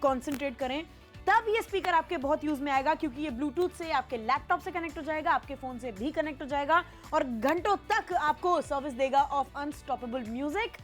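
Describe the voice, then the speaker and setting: A woman's voice: high voice, lively intonation, normal speed, fairly bright tone, faint music behind. A young woman presenting a product on a home shopping show in a chatty, casual way, slipping English phrases into her talk. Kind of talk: teleshopping sales chat.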